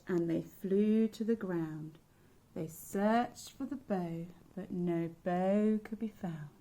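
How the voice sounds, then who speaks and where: A woman childminder reading a picture book aloud to children in an expressive sing-song way. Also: medium pitch.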